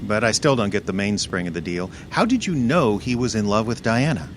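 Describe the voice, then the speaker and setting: A man's voice: fairly low pitch, animated, fairly quick, slight background noise, close to an everyday voice. A man playing a street-smart, breezy, sardonic detective's assistant in a 1950s radio mystery. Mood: wry, curious.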